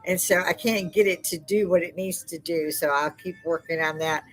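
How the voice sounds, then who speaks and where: An older woman hosting a casual live stream. She has a loud speaking voice and talks in a loose, chatty way, laughing often. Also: lowish voice, fairly even intonation, normal speed, faint music behind, poor audio. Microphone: desk microphone.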